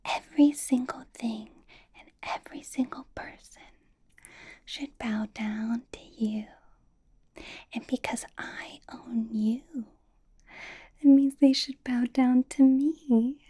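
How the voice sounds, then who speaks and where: A possessive female vampire boss, played by a woman in an ASMR roleplay, whispering slowly and very breathily. She coaxes with condescending endearments, doting and controlling, with a sweet menace under the soft, mellow tone. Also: a little high, steady tone, breathy edge, full character voice. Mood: possessive, demanding. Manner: slow, coaxing, condescending endearments.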